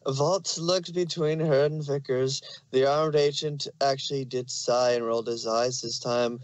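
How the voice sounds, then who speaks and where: A man's voice: medium pitch, steady tone, a little slow, no echo, rough recording. A man narrating fan fiction in an amateur dramatic reading style.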